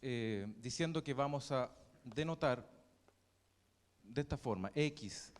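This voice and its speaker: A young man with a Chilean accent giving a university math lecture, halting often with fillers and restarts. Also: medium pitch. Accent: Chilean Spanish. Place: lecture hall. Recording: reverberant lecture hall.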